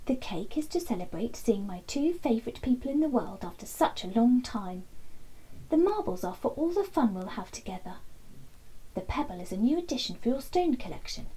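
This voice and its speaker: A woman in her thirties reading a children's bedtime story expressively, with dramatic emphasis and hushed passages. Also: on the high side, breathy edge, low-quality recording. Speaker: storybook reader. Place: small indoor nook lined with soft toys.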